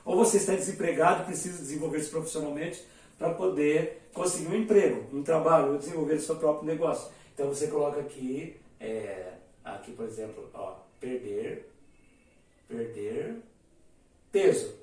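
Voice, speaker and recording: A man gives a classroom-style lesson, his voice a little high for a man and heard from some distance. The room rings with heavy echo as he explains step by step and writes on a board while talking. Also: moderate pace, darkish tone. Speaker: English teacher. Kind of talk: whiteboard lesson to camera.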